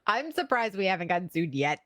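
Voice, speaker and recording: A woman's voice: on the low side, sing-song, a little fast, crowd noise. A woman co-hosting a livestream podcast in casual unscripted banter, with a giggly way of talking. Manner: giggly, laughs often.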